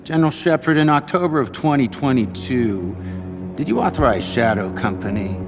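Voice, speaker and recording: An actor playing a stern official who questions witnesses formally at a hearing in a video game. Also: male voice, medium pitch, animated, normal speed, lightly acted. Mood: stern, probing. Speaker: hearing chairman. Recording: long echoey chamber, music behind.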